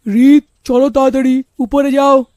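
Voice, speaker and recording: Woman as a loud, scolding, high-voiced father. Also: flat delivery, normal speed, dry recording, clean studio sound, lightly acted. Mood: angry, scolding. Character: stern, angry, controlling middle-aged father. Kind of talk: children's cartoon dialogue.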